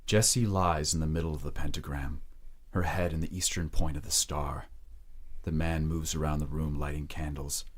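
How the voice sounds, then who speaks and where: A man narrates a dark drama in an extremely deep, husky voice. His delivery is measured and grave, often hushed, as scripted present-tense storytelling. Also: fairly even intonation.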